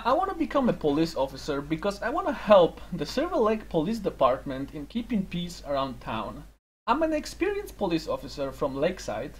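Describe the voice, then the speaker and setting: A man's voice: a little high, slightly rough. A man roleplaying a job applicant in a game, hesitant and full of fillers, breaking into laughter. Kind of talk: in-character roleplay banter.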